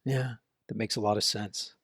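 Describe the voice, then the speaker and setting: A man's voice: on the low side, fairly even intonation, moderate pace, a bit bright, dry recording, studio quality. A man hosting a podcast, interviewing a guest and then commenting on his own in a conversational, thinking-aloud way. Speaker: podcast host.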